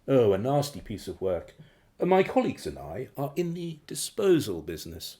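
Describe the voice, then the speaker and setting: An actor in a radio play playing a suave, menacing crime boss with a mockingly genteel, condescending and drawn-out delivery. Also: male voice, mid-range voice, very animated, dark tone, close to an everyday voice. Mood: amused, suave. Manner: theatrical, condescending, chuckling politeness with drawn-out phrases.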